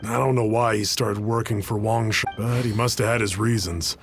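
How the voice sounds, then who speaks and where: A woman playing a gentle, hesitant, helpful cook in a video game, speaking haltingly. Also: a bass voice, fairly even intonation. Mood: hesitant, obliging. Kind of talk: game voice acting. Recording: clear music behind the voice.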